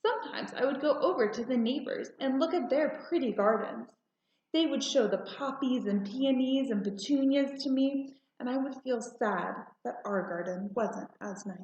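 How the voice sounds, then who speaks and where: A woman narrating a children's storybook aloud in a deliberate, expressive way. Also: fairly high pitch. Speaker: storybook reader. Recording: roomy, slightly muffled home recording.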